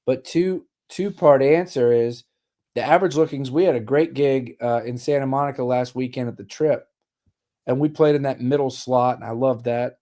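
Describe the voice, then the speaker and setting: A man's voice: mid-range voice, steady tone, moderate pace, warm tone. A man in his forties, a musician guest on a radio interview, talking in a candid, wry, matter-of-fact way, his voice slightly worn. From Richmond, Virginia. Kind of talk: casual interview chat.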